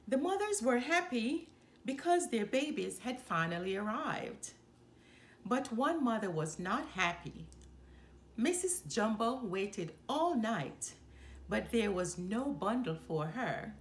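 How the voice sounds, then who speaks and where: Woman, children's read-aloud, unhurried, highly expressive, faint background noise. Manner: deliberate, expressive delivery. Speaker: storyteller.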